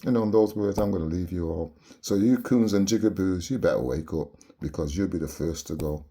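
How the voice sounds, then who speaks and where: Low husky Barbadian man ranting. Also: fairly quick, warm tone. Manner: preachy, repeats rhetorical "yeah?" tags. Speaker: vlogger. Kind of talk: impassioned monologue to camera.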